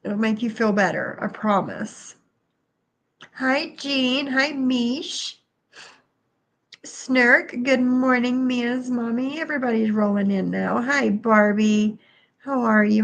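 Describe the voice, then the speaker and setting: A stuffy-nosed middle-aged woman hosts a relaxed livestream from home, her voice a touch high. She talks slowly and chattily, greeting viewers one by one and laughing often. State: stuffy nose. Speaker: livestream host. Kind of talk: casual livestream chat. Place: bedroom at home, then kitchen.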